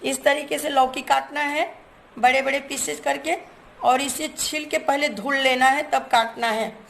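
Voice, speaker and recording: A woman's voice: sits high, fairly even intonation, measured pace, vocal fry, a bit bright, a little hiss or hum. A woman, hosting a cooking channel and chatting through each step while she shows her ingredients in a home kitchen. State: hoarse from a cold.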